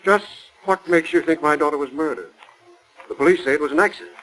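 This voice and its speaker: Stern, sharp-voiced older patriarch. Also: male voice, fairly high pitch, fast, faint music behind, poor audio. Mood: suspicious, haughty. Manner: haughty, commanding. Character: stern, imperious wealthy father, cold and sharp-tongued. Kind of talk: 1940s radio acting. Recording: 1940s radio broadcast recording.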